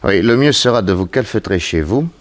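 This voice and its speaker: An actor playing a composed, shrewd private detective in a 1960s radio serial, measured and authoritative. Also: male voice, fairly low pitch, lively intonation, close to an everyday voice. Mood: grave, measured.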